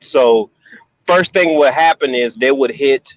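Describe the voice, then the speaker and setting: A man in a casual video call who talks haltingly with many pauses and restarts. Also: medium pitch, no room sound, dull audio. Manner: hesitant, many ums and restarts.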